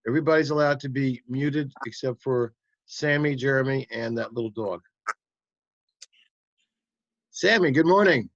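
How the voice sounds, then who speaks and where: A man hosting and moderating a video call interview, brisk and organizing. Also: medium pitch, no echo. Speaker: host. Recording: dry dull call audio.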